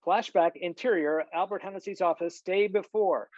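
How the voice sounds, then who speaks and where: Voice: mid-range voice, moderate pace, dry recording. A narrator reading screenplay stage directions in a scripted style over a video call. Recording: slightly dull video call audio.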